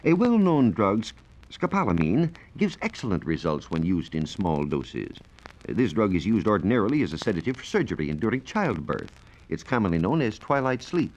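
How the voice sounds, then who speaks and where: An American man working as a science reporter gives a formal, measured, scripted explanation in the style of 1940s educational radio. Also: on the low side, big pitch swings, a little mellow. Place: radio studio. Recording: dull, lo-fi 1940s radio recording.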